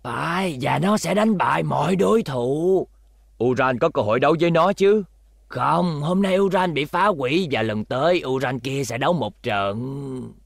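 A man's voice: on the high side, lively intonation, moderate pace, creaky, no room sound, studio quality. A man voicing adult characters in a cartoon dub, emphatic and theatrical. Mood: scheming. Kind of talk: dramatic cartoon dubbing.

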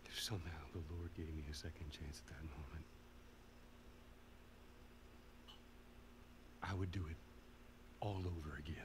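A guarded middle-aged dad, very deep voice, halting quiet delivery, game cutscene, clear ambient noise. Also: male voice, steady tone. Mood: resolute. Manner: low, gravelly, halting and quiet. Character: weathered older father figure, gruff and guarded. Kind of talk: video game cutscene acting. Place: night porch.

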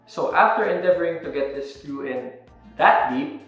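A man's voice: a little high, expressive, moderate pace, fairly bright tone, dull audio, faint music behind. A young man explaining step by step how to open a wine bottle, in an instructional demo recorded indoors. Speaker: wine presenter. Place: indoor room with a table. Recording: very echoey room.

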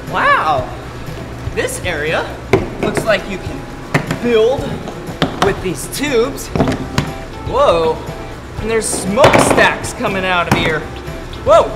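A man presenting playfully for toddlers, exclaiming in an exaggerated, wide-eyed way and drawing out words. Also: high-pitched. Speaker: children's show host. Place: indoor and outdoor play areas of a children's museum. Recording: music behind the voice.

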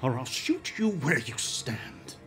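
A man voicing a gleefully menacing, crazed police chief in an audiobook, slow and threatening. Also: mid-range voice, sing-song, a bit bright, soft background music, pitched above the performer's own voice.

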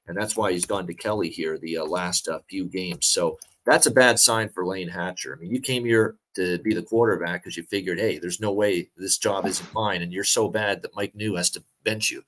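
A young man with a fairly low voice breaks down a matchup over a video call. He talks steadily and freely, padding his points with filler words as he thinks aloud. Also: moderate pace, few pauses, a little hiss or hum, low-quality recording. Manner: steady, talkative, many "uh" fillers. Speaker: sports betting podcast co-host. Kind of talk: casual sports betting analysis. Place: home room, indoors.

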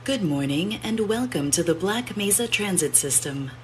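A woman voicing a calm automated train announcement system from a game, measured, formal and impersonal. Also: medium pitch, slight background noise, close to an everyday voice. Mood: impersonal, composed. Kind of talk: scripted public-address announcement.

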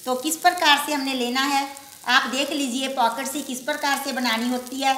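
A woman's voice: high voice, steady tone, normal speed, a bit bright, slight background noise. A woman hosting a home cooking show, explaining each step of a recipe at the stove in a homely way. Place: home kitchen at a griddle. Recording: echoey kitchen with sizzling griddle.

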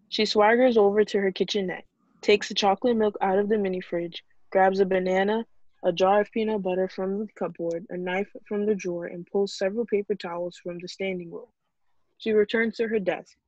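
A woman's voice: mid-range voice, mellow, no echo. Woman narrating a script, dull lo-fi audio. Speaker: reader of stage directions. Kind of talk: scripted narration at a table read. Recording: dull lo-fi video call audio.

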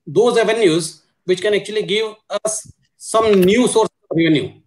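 High-voiced Indian man explaining on a panel. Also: normal speed, a little mellow, low-quality recording. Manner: repeats filler words like basically and actually. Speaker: panelist, supply chain executive. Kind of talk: explanatory business panel talk. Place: indoor room on a video call. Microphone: video call.

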